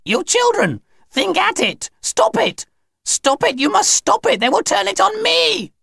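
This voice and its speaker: Shrill, panicky old alien aunt. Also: male voice, wildly swooping pitch, unhurried, no room sound, studio quality, full character voice. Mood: hysterical panic. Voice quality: metallic, squawking. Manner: pleading, frantic. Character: alien robot posing as old great aunt, panicky, metallic, pleading.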